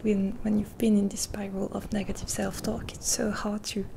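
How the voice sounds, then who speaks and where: A woman speaking in a gentle, half-whispered ASMR roleplay, rambling softly and reassuringly with pauses, close to the mic. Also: medium pitch, little pitch movement, vocal fry, background noise. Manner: caring, reassuring. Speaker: ASMR creator. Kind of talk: soft-spoken ASMR roleplay.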